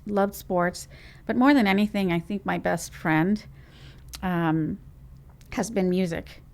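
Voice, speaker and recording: A woman from Trinidad and Tobago, a business owner, telling her story in a lively, rambling way as an interview guest. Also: fairly low pitch, slow pace, gravelly. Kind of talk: conversational interview.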